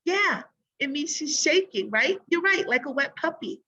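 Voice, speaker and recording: A woman teacher giving a read-aloud lesson in an instructive way, pausing to think aloud and pose guiding questions. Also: sits high, expressive, sharp tone, lo-fi sound.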